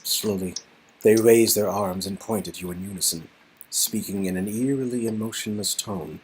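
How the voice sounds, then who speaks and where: A man's voice: on the low side, normal speed, a little mellow, poor audio. A man narrating an interactive game story in a dramatic storytelling style, with music behind him. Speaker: narrator and co-performer.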